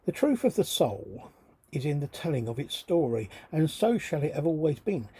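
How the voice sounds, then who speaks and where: A man with a husky, rough-edged voice reading his own writing as a theatrical, brooding spoken-word monologue, delivered deliberately and dramatically. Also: fairly high pitch, very animated, mellow. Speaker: writer reading own work.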